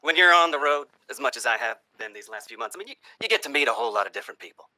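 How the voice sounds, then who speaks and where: Man playing a sharp, folksy, sinister campaigning politician. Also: mid-range voice, animated, no room sound, poor audio. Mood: folksy, earnest. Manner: folksy, rhetorical, dramatic pauses and hushed asides. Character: folksy, sinister cultist presidential candidate, genial and menacing. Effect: vintage old-time radio treatment. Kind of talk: theatrical campaign stump speech, satirical horror monologue.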